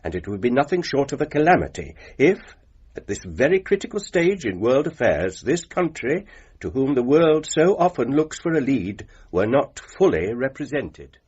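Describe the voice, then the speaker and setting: An actor playing a stately official reading a formal letter in a grave, declamatory way, with a husky voice. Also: male voice, mid-range voice, very animated, normal speed, darkish tone. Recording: dull radio sound.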